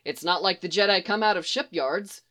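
A woman's voice: lowish voice, normal speed, a bit bright, lightly acted. A woman voicing a male clone trooper musing casually and thoughtfully.

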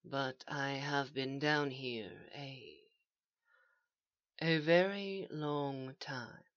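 A woman voicing a calm, eerie male stranger in an audio story, speaking softly, slowly and with polite patience. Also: extremely low pitch, voice pushed down, full character voice. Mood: slow, grave. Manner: soft, slow, even and reasonable. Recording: echoey, slightly dull home recording.

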